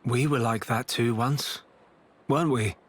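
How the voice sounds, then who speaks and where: Earnest, resolute young hero. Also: male voice, mid-range voice, measured pace, breathy edge. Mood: reflective, earnest. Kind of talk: video game voice acting.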